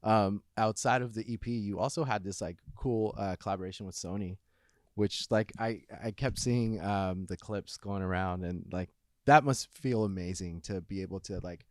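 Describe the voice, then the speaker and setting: A man's voice: on the low side, normal speed, a little mellow, no echo, clean studio sound. A man interviewing a singer in casual chat, affirming and enthusiastic in his reactions.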